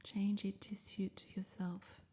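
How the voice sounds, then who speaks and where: A woman softly guiding a sleep meditation in a breathy near-whisper, with soothing, measured phrasing. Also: medium pitch, flat delivery. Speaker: meditation guide. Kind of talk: guided sleep meditation, scripted.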